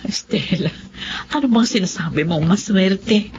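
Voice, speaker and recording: A woman's voice: mid-range voice, measured pace, close to an everyday voice. A woman playing a resigned, embittered mother abandoned by her husband, in a radio drama. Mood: resigned, bitter. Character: bitter abandoned mother losing her sight. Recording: muffled, faintly noisy radio recording.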